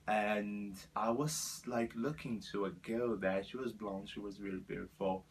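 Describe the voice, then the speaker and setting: Young Brazilian man, low voice, halting English. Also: steady tone, gravelly, breathy edge. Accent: Brazilian Portuguese. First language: Portuguese. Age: 20s. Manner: earnest, halting second-language English with many fillers. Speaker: vlogger. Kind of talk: personal storytelling to camera.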